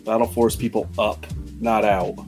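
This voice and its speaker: A man's voice: lowish voice, fairly even intonation, measured pace, a little mellow, faint music behind. A man playing a tabletop game, talking casually through plans with the group. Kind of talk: casual in-game planning banter.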